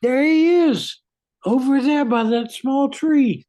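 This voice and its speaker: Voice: sits high, takes it slowly, dark tone. A performer playing an earnest, kindly park ranger in an amateur radio drama. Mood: reassuring.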